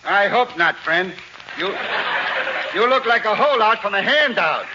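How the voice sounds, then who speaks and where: An actor playing a gruff, blunt Christmas tree vendor with sarcastic street talk, in a 1940s radio comedy style. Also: male voice, sits high, lively intonation, unhurried, highs cut off. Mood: dryly insulting. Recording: noisy band-limited old radio recording.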